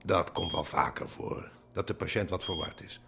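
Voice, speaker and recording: A man playing a calm, reassuring hospital doctor in a radio drama. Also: deep voice, normal speed, vocal fry, a little hiss or hum, full character voice.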